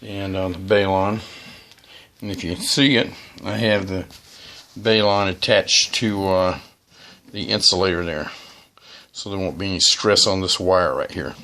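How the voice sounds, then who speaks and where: A man giving a casual hands-on demonstration as a radio hobbyist, talking in a halting, plain-spoken, cautionary way. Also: deep voice, steady tone, sharp tone. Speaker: amateur radio hobbyist presenting a how-to video.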